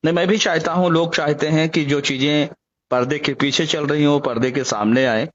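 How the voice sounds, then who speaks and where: A man's voice: steady tone, moderate pace. A man interviewing by phone for a news report, putting pointed, indignant questions. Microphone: phone call. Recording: dull low-fidelity phone call recording.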